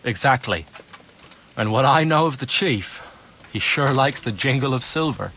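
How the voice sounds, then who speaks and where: An actor playing an ingratiating, glib turncoat informant in a video game cutscene. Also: male voice, medium pitch, lively intonation, moderate pace, bright, forceful tone, husky, full character voice. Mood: sly, confiding. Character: slippery male turncoat informant bargaining for his freedom.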